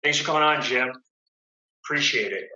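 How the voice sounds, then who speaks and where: Male podcast host, very bright tense voice, echoey room, lo-fi. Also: medium pitch, fairly quick. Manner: curious follow-up questions, repeats himself. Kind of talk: interview hosting. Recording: echoey room, dull lo-fi sound.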